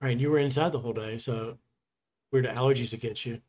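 A man's voice: mid-range voice, steady tone, fast, darkish tone. A man co-hosting a podcast in a casual, conversational style. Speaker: podcast producer and co-host.

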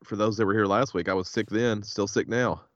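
A man's voice: lowish voice, steady tone, brisk, no room sound, lo-fi sound. A man hosting a podcast in a laid-back, joking chat while fighting a head cold. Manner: laid-back, joking, says "hell yeah" often. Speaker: podcast host. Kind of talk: casual chat.

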